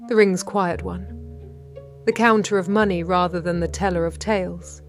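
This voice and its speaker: An actress with a British accent playing a shrewd 1930s London lady detective, narrating in a measured, wry and precise way. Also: lowish voice, fairly even intonation, soft background music, close to an everyday voice. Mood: composed, watchful. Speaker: narrator and lead character. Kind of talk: first-person detective audio drama narration.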